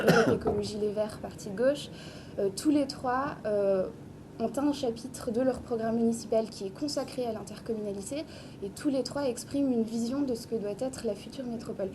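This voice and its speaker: A woman giving a structured, academic public presentation of a research study in an explanatory way. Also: a little fast. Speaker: conference speaker presenting research. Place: public book presentation in Paris venue. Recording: clearly noisy live venue with rumble.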